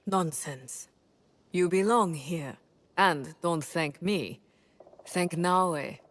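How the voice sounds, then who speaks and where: Game role: kindly, reassuring woman, lowish voice, unhurried delivery. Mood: warm, reassuring. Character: kindly, reassuring female ally. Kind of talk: video game cutscene acting.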